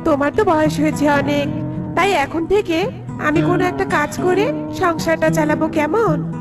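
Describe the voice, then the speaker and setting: Cartoon young girl bird: tiny, very high voice, earnest and sweet, over loud music. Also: normal speed. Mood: caring. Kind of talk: children's cartoon character dubbing.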